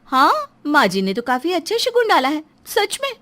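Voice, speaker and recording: A woman performs a young cartoon daughter-in-law with a high, swooping voice. She is chatty and mock-innocent, and tactlessly outspoken in a cheeky way. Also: huge pitch swings, moderate pace, sharp tone, heavily acted. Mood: blithely tactless. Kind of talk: Hindi moral story cartoon dialogue.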